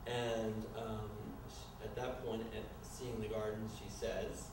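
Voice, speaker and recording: A man narrating a staged screenplay reading in a loose, improvised, halting way, often stumbling over his words. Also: lowish voice, flat delivery. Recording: echoey room, distant mic, noisy.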